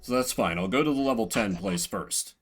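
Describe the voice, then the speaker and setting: A man's voice: fairly low pitch, animated, a little fast, husky, faint music behind. A man giving casual, wry, joking commentary on a game he plays, reading its text aloud as he goes. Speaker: video game streamer.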